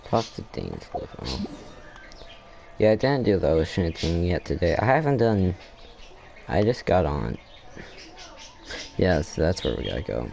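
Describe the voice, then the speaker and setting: A man's voice: low voice, wildly swooping pitch, measured pace, gravelly, pauses often, darkish tone. A man giving casual, off-the-cuff commentary while he plays a video game. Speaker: gamer, channel host.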